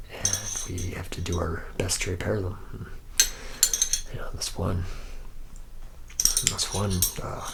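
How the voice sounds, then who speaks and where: Guy doing a jaded cocky robot mechanic, drawly n rambling asmr roleplay, deep crackly voice, really noisy clinky background. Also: gravelly. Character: jaded, boastful male lead robot mechanic, laid-back slacker. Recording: noisy close recording with clinking metal.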